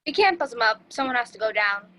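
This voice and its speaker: A woman's voice: fairly high pitch, lively intonation, quick pace, bright, forceful tone, rough recording. A woman reading the part of a literal-minded, matter-of-fact young man in a sitcom table read.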